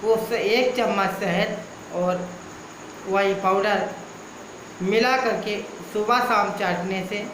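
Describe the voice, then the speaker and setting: A man's voice: high voice, fairly even intonation, slow pace, pauses often. A man speaking as an Ayurvedic healer, explaining a home remedy and listing ailments one after another in a repetitive way. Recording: echoey room with background noise.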